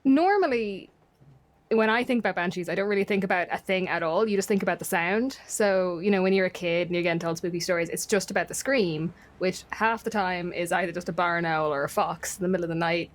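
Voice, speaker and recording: A woman's voice: lowish voice, fairly quick. An Irish woman chatting casually as a guest, telling stories in a wry, self-deprecating way. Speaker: podcast guest. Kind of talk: casual storytelling chat.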